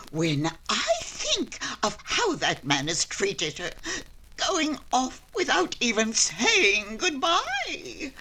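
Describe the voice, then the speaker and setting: A woman's voice: a little high, huge pitch swings, extremely slow, pushed and bright, slight background noise, exaggerated voice. A woman playing a melodramatic, fretful middle-aged mother in a 1940s-style radio play, theatrically wailing and fussing. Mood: aggrieved, tearful.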